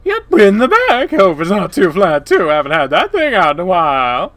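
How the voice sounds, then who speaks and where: A brash old coyote woman, acted out by a man, pitched well up and loud, swinging widely as she chats. She is obnoxious, manic and over-friendly, like a game character. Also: huge pitch swings, normal speed, no room sound, heavily acted.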